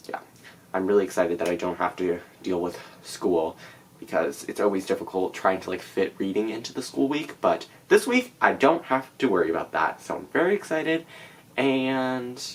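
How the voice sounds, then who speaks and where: A teenage boy with a stuffy nose from a cold, chatting casually to camera about his reading plans with many fillers. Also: on the low side. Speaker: book vlogger.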